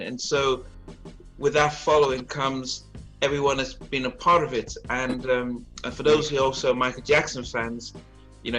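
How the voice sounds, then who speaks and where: A man's voice: mid-range voice, fairly bright tone, low-quality recording. Laughing man hosting a casual interview. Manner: friendly, laughs easily, halting questions. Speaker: interview host.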